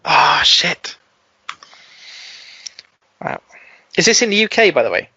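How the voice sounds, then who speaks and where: Quiz contestant: man, very lively pitch, dry lo-fi sound, mutters and swears. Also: slow, breathy edge, no room sound. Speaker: podcast co-host, quiz contestant. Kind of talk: casual podcast chat. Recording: dry, dull lo-fi podcast audio.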